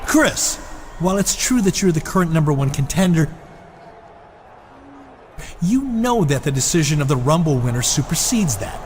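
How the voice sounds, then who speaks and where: Swooping, formal wrestling show boss. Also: male voice, a little high, sing-song, darkish tone, shouting, a little hiss or hum. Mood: apologetic, official. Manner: measured excuses, formal, placating. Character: smooth-talking, bureaucratic male wrestling show boss. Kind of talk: video game cutscene acting.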